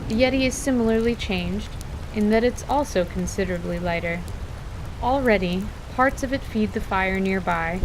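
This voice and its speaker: A woman's voice: medium pitch, background noise. A woman narrating a scripted fantasy story as narrator, with composed, literary delivery. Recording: steady rain ambience behind voice. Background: rain ambience.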